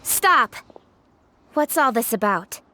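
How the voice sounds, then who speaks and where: A woman's voice: a little high, lively intonation, takes it slowly, sharp tone, faint music behind, full character voice. A woman voicing a confident, authoritative young noblewoman official in a video game, self-assured and formal. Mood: commanding. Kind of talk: video game cutscene voice acting.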